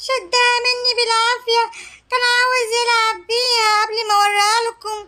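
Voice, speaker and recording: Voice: extremely high pitch, completely flat delivery, quick pace, bright, forceful tone, heavily acted. A performer voicing a wronged young cartoon lion cub, plaintively complaining in Egyptian Arabic. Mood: hurt. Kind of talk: children's cartoon dialogue in colloquial Arabic.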